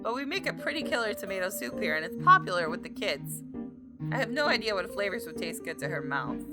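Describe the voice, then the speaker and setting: A woman reading a visual novel game aloud in an expressive, theatrical storytelling style, with casual asides. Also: medium pitch, a little fast, loud music behind the voice.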